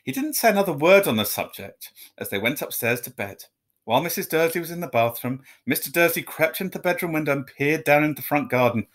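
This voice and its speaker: A man reading a children's story aloud like a teacher, in expressive narration. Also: fairly high pitch, fast talker.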